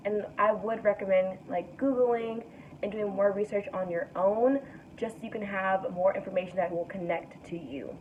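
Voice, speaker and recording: A young woman with a raspy morning voice, giving a relaxed, soothing tarot card reading to camera as the host. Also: mid-range voice, moderate pace. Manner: relaxed, soothing, guides breathing. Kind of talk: casual spiritual reading to camera. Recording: tinny distant mic with faint noise.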